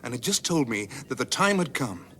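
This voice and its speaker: Casting: swaggering hipster space rogue, cocky and impatient, radio sci-fi comedy, faintly hissy archive sound. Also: male voice, mid-range voice, sing-song, a little fast, fairly bright tone. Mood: smug, laid-back. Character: brash, cocky, hip space rogue and ex-president. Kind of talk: British radio comedy drama acting.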